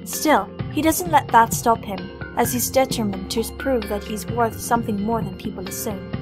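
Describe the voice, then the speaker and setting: A woman's voice: on the high side, normal speed, over music, close to an everyday voice. A woman playing a composed storyteller, reading scripted narration for a fan audio drama.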